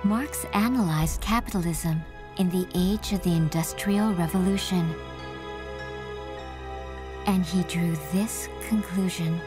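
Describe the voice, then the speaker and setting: A woman narrating a documentary from a script, slow and measured in a solemn tone. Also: on the low side. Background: new-age music.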